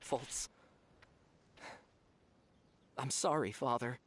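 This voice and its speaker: Husky, resigned young man. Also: medium pitch, unhurried, fairly bright tone. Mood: resigned remorse. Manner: subdued, contrite. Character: resigned, remorseful young orphan accepting punishment. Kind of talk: dramatic game voice acting.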